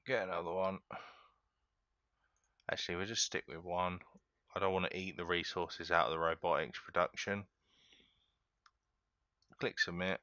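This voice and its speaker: A deep-voiced man talks viewers through a game step by step, relaxed and chatty. His voice is bright and smooth, recorded dry on a slightly dull home mic. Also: moderate pace, pauses often, no echo. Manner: relaxed, chatty, keeps addressing viewers as guys. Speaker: gaming tutorial host. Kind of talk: casual step-by-step game tutorial.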